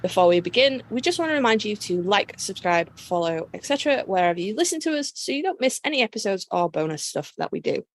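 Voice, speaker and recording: A woman hosting a podcast in casual, jokey chat, swearing freely and rambling excitably. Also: medium pitch, fast talker, hardly any pauses, dry recording. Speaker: podcast host.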